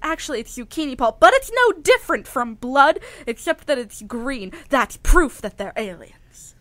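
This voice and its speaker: A woman's voice: high-pitched, big pitch swings, moderate pace, fairly bright tone, no echo. A woman acting an earnest, rambling, paranoid housewife in a radio comedy. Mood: alarmed, confessional. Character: dotty, paranoid housewife convinced vegetables are alien invaders.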